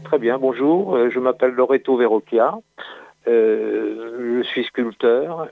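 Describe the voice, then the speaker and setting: A sculptor in his sixties from Italy recounts his life story in a halting way, with many restarts and hesitations. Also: medium pitch, gravelly, over music. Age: 60s. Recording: dry phone-like audio.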